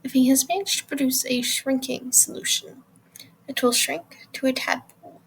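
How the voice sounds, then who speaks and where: Woman acting spiteful male professor, high-pitched, disdainful, deliberate, noisy recording. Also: creaky. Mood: menacing. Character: cold sneering male potions teacher, spiteful. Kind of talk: fan audio drama acting.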